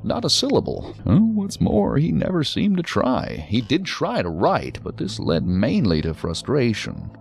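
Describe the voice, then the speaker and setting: An actor playing an earnest, hesitant psychiatrist who explains things in wordy, precise clinical terms in an audio drama. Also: male voice, mid-range voice, huge pitch swings, a little slow, gravelly, hardly any pauses, heavily acted. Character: talkative, earnest, hesitant male psychiatrist answering investigators. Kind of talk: science fiction audio drama acting.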